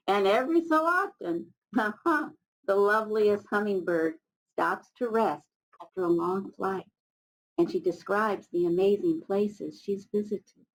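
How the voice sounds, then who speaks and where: A woman's voice: medium pitch, poor audio. An American woman voicing an ancient, good-natured male rock who reminisces in a children's storybook.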